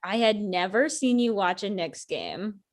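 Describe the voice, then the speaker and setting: A woman's voice: medium pitch, expressive, a little slow, lightly acted. A woman acting a blunt, sarcastic ex-girlfriend in her thirties in a conversational comedy script reading. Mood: indignant.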